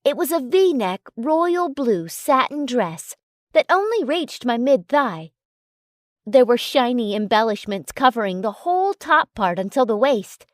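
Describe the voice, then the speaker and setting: A woman's voice: on the high side, sing-song, unhurried, no echo, clean studio sound. A woman reading a first-person romance story as a scripted narration.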